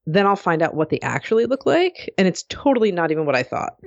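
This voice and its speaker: A woman co-hosting a podcast, with a very low voice and a slightly dull, muffled sound. She speaks agreeably and reflectively, with plenty of fillers. The audio is dry and close. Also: very animated, fast, creaky, no room sound. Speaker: podcast co-host. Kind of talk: casual conversational chat.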